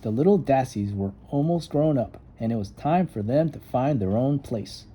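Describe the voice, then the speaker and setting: Very mellow man reading a children's story. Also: medium pitch, expressive, moderate pace, slightly rough. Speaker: storybook reader. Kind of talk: read-aloud children's story narration.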